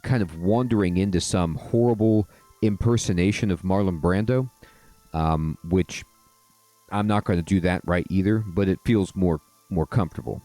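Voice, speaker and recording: A man hosting a casual let's-play, telling stories in a rambling, drawn-out way with wry asides. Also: sits low, warm tone, no echo, soft background music. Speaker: gaming host.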